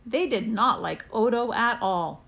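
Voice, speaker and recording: A woman reading a children's story aloud expressively, with deliberate, dramatic emphasis. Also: fairly high pitch, vocal fry, a bit bright. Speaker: storybook reader.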